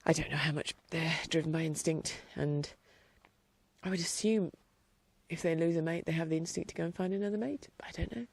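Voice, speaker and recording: A woman host chatting casually outdoors in her garden, rambling along with a blocked-up nose and dropping quieter at times. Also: sits low, brisk, gravelly, husky, no room sound. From England. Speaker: podcast host. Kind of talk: casual chat.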